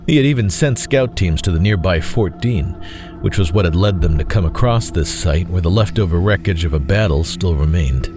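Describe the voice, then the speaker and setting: A man reading scripted dramatic fiction as narrator, in a measured, solemn way. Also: low voice, lively intonation. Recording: faint music bed behind voice.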